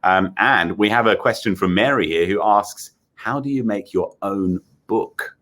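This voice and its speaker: An Australian man hosts a live interview for children. His voice sits a little low. He talks casually and effusively, reacting with open enthusiasm as he passes questions along. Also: unhurried, fairly bright tone. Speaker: host of a children's show, in character as a space captain.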